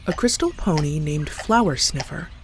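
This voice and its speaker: A woman's voice: low-pitched, animated, moderate pace, a little hiss or hum. A woman narrating a scripted horror story as a storyteller. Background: clear background music.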